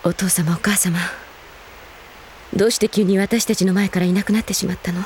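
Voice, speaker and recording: A woman's voice: mid-range voice, fairly even intonation, fairly quick, a little mellow, a little hiss or hum, close to an everyday voice. An actress playing a composed, wistful elder-sister team leader in an anime audio drama, poised and ladylike. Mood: troubled, wistful.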